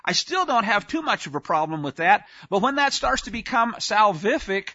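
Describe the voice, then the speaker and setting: A man's voice: sits high, animated, moderate pace, pushed and bright, dry recording, highs cut off. A male preacher giving an informal teaching sermon in a folksy, conversational way with sarcastic asides.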